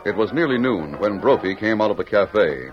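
A man's voice: on the low side, expressive, normal speed. A man narrating and announcing a scripted 1940s-style radio drama. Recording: dull old radio recording, music.

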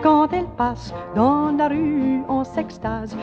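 A woman's voice: high-pitched, slow pace. A woman singing an old-time popular song from a classic radio show. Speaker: singer. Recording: dull lo-fi old radio recording, loud music. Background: loud musical accompaniment.

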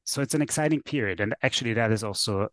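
A man's voice: lowish voice, fast, fairly bright tone, no echo. A man in his thirties from Austria, a native German speaker, answering interview questions thoughtfully with many fillers and restarts. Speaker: guest author, sociologist.

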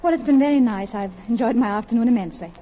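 An actress playing an anxious, guilt-ridden middle-class wife in a classic radio drama, with a polite, hesitant, confessional manner. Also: female voice, fairly high pitch, rapid-fire, a little hiss or hum, natural voice. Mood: flustered. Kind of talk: classic radio drama acting, with intimate narration. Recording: dull old radio recording with music.

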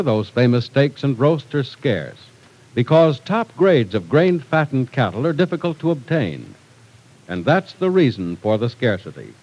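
Animated male vintage radio announcer. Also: medium pitch, rough recording. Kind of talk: 1940s radio announcing, scripted sponsor read and narration. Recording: old radio broadcast recording.

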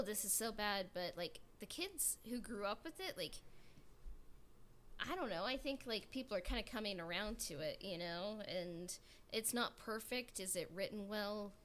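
A woman with a mid-pitched voice speaks in a casual podcast discussion, with some noise behind her. She phrases her thoughts in hesitant little pieces. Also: lively intonation, moderate pace. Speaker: podcast panelist. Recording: clear background noise.

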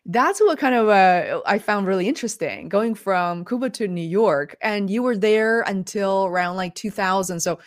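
A woman hosts a livestream interview on a video call, speaking in a chatty, enthusiastic way full of fillers. Her mid-pitched voice comes through dry and close, with no room sound. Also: animated, normal speed, few pauses, no echo. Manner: enthusiastic, chatty, many fillers like "like" and "you know". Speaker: livestream host. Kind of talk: casual interview.

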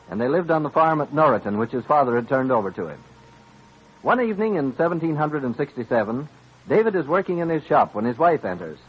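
A man narrating a scripted old-time radio drama in a formal storytelling manner. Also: fairly high pitch. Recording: dull vintage radio recording.

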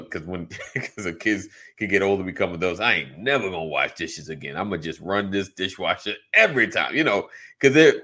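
A man's voice: sits low, pushed and bright. A middle-aged man co-hosting a talk show, chatting casually, laughing often and agreeing with "right". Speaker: podcast co-host. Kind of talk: casual chat.

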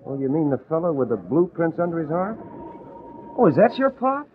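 Brash American male reporter: slangy, cocky, animated pitch, thin old broadcast sound. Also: brisk. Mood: folksy, probing. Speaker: radio drama actor. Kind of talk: classic American radio drama acting. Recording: tinny, noisy old radio recording.

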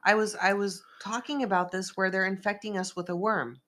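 A woman's voice: mid-range voice, steady tone, a bit bright. A woman from Northern California hosting a casual chat show, a little congested and losing her voice, talking in a rambling, chatty way. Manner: rambling, chatty, quick asides. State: congested from a sinus rinse, losing voice. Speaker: host.

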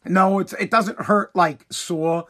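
A man's voice: sits high, fairly even intonation, slow pace, sharp tone. A man hosting his own podcast, ranting bluntly and heatedly with swearing while he is losing his voice. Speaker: podcast host.

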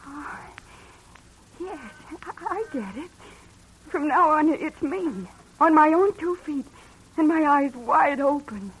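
High-voiced, fragile young radio heroine. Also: female voice. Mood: resolute. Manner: halting, earnest. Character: disillusioned, fragile young woman finding resolve. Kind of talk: 1950s radio drama acting. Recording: noisy vintage radio broadcast.

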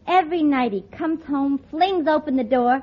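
A woman playing a sassy deadpan girl. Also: high-pitched, normal speed, lightly acted. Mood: tart. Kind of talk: 1940s radio comedy acting. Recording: dull old radio broadcast, noisy.

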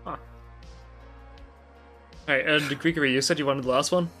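Guy cohosting a stream, slightly high voice, casual banter, faint music behind. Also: steady tone, normal speed, sharp tone.

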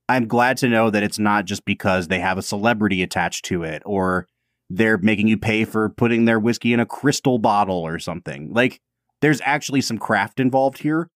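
A man's voice: lowish voice, no echo, studio quality. A man co-hosting a podcast in casual chat, talkative and self-deprecating, often breaking into laughs. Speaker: podcast co-host.

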